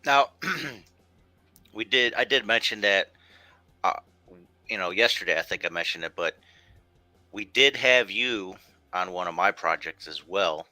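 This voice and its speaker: A man's voice: fairly low pitch, animated, measured pace, pauses often, bright, forceful tone, dry recording, soft background music. A man hosting an interview show in a loose, rambling conversational way. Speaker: show host. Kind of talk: casual interview chat.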